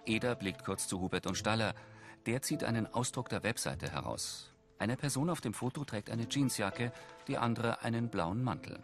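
A man with a low voice narrates screen action as audio description. His delivery is precise and factual, with a mobile intonation, and music plays clearly underneath. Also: animated, moderate pace. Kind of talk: scripted present-tense narration of screen action. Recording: film soundtrack and music underneath.

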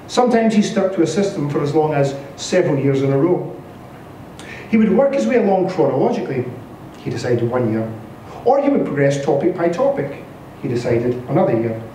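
A man reads literary fiction aloud like a stage storyteller, with an expressive, dramatic delivery. His voice sits fairly high and moves through lively swings of pitch, with a soft, mellow tone. It is a live reading in a bare indoor space. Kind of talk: scripted literary narration read aloud. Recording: echoey room from a distance, faint noise.

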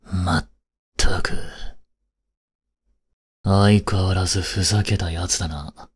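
An actor playing a cold, haughty young prince in an audio drama, with a husky, breathy voice and a curt, imperious manner. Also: male voice, sits low, steady tone, slow pace, exaggerated voice. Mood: stern, scolding. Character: cold, haughty young prince scolding and fretting over his maid. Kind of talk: Japanese audio drama acting.

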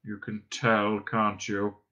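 A man voicing a gloomy, bored, self-pitying robot in a droning, muttering, sarcastic deadpan, reading from a storybook. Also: on the low side, slow, muffled recording, pitched below the performer's own voice. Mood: bitter sarcasm.

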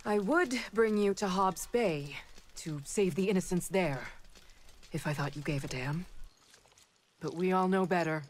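An actress playing a stern, righteous superheroine in a video game, commanding and disapproving. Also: female voice, lowish voice, measured pace, pauses often. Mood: disdainful. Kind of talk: video game cutscene acting.

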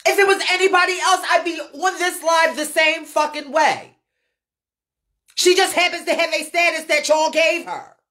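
High-pitched American woman, blunt live-stream commentator, swears freely and hammers points by repetition. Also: fairly bright tone. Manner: blunt, emphatic, heavy swearing, repeats phrases for emphasis. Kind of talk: unscripted live rant answering viewer comments.

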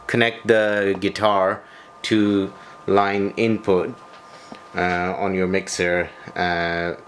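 Low-pitched man, slow halting explainer. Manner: halting, broken phrasing with frequent hesitations. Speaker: tutorial presenter. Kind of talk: hands-on equipment tutorial, unscripted explanation. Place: small bedroom, indoors. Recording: home video with noticeable background noise.

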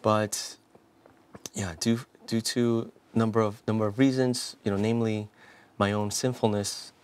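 A man in his thirties from South Korea speaks as a priest guest in a television studio. His voice sits slightly low, and he talks earnestly, often restarting phrases and filling pauses with "you know." A lapel mic picks him up clean and close. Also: flat delivery, darkish tone, smooth. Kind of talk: interview, telling his life story.

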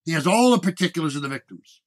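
A man voicing a harried police chief in an audio detective story, brisk and official. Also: a little high, animated, lightly acted. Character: harried male police chief giving orders.

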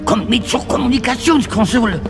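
An actor playing a nervous, placating alien underling in an audio drama, with hesitant delivery. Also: male voice, extremely high pitch, brisk, over loud music. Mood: anxious.

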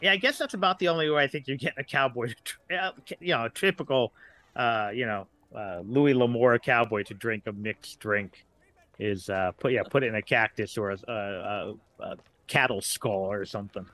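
A man's voice: medium pitch, moderate pace, a bit bright, no echo. A man talking casually as a podcast guest, informal, with trailing asides. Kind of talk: casual chat.

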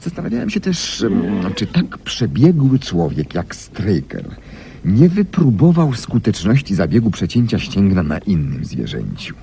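A low, mellow man's voice as a self-assured master detective in a 1970s radio play. He lays out deductions theatrically, with big swings of pitch and deliberate pauses, on a slightly noisy old recording. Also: huge pitch swings, vocal fry, heavily acted. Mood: explaining, assured. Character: brilliant, self-assured male detective explaining his deductions. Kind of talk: 1970s Polish radio drama acting.

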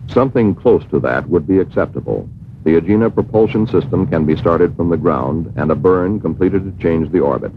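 An American man reading a scripted educational film narration in a measured, formal manner. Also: low voice, animated, a little hiss or hum. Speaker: documentary narrator. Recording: muffled, worn 1960s film soundtrack.

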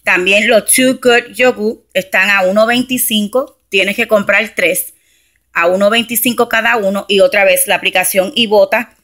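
A woman with a bright, forward voice talks through her shopping finds on camera. Her delivery is chatty and practical, with English words dropped in. It is recorded on a phone held in her hand at home. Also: medium pitch, fairly even intonation, moderate pace. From Camden, New Jersey, USA. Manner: chatty, explains prices step by step, mixes in English words. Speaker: couponing vlogger. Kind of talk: casual haul walkthrough. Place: home, beside a shelf of groceries, indoors. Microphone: handheld phone camera.

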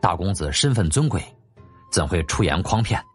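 A man's voice: low voice, expressive, normal speed, breathy edge, soft background music, pitched below the performer's own voice. A man voicing an obsequious household steward in an audiobook, respectful and eager to explain. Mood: deferential. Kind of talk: audiobook character acting.

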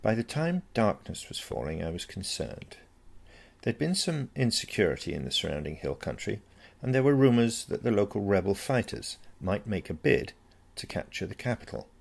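A man's voice: fairly low pitch, big pitch swings, moderate pace, vocal fry. A man reading a first-person story as scripted narration. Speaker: story reader.

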